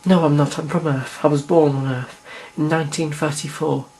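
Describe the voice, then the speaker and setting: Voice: mid-range voice, steady tone, normal speed, lightly acted, rough recording. A performer doing a timid young maid. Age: 20s. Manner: soft, timid, quiet. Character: meek young woman of twenty, a maid.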